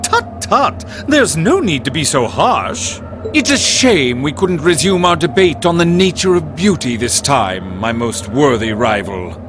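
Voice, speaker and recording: An actor playing a theatrical gentleman thief with refined airs in a video game, pompous and flowery. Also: on the high side, lively intonation, a little slow, music in the background. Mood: mock-wounded. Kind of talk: English video game cutscene acting.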